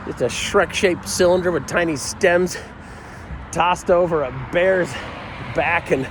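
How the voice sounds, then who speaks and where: A man's voice: on the high side, slow, noisy background. A middle-aged American man telling a comic personal story in a casual, rambling, self-deprecating way with frequent asides.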